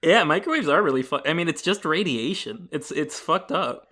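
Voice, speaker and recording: A man's voice: a little high, expressive, normal speed, pushed and bright. A young man hosting a casual comedy podcast, bantering with profane, joking exaggeration. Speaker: podcast host.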